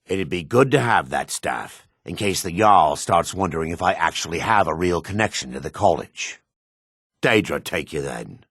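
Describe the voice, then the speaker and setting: A man voicing a gruff, wary male dark elf townsman in a fantasy video game, with a low, husky voice. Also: expressive, moderate pace, no room sound, studio quality, full character voice. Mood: sly, then indignant.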